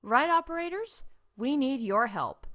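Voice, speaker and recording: Lively, chipper woman playing a theme park announcer. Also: high-pitched, a little slow. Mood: chipper, glib. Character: chipper female theme park announcer with breezy corporate cheer. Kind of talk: scripted comedic announcements.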